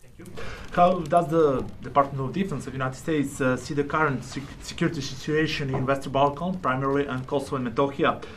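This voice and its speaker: Fast-talking man with a Serbian accent. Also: mid-range voice, steady tone, background noise. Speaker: reporter. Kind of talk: formal press question.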